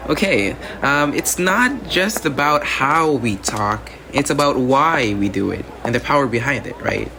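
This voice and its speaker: A man acts as an earnest, academically minded male student in a radio drama. He explains patiently in a conversational way, often tagging points with a check for agreement. His medium-pitched voice sits over clear ambient noise. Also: animated, a little slow, few pauses. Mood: earnest, explanatory. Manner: explanatory, checks with "right?" and "you know". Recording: noisy outdoor ambience.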